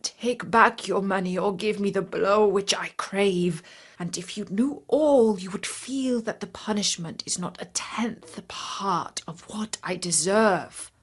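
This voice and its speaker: A woman's voice: medium pitch, slow, slightly rough. A woman voicing a humble, pleading blind beggar man in formal, beseeching storybook speech. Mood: pleading, insistent. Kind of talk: children's storybook reading.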